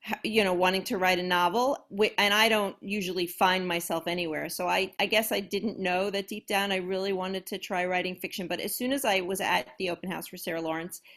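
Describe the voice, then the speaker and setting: Loud, low-voiced woman telling her story. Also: a little fast, few pauses. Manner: animated storyteller, self-deprecating. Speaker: panel guest author. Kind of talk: casual panel chat. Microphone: video call.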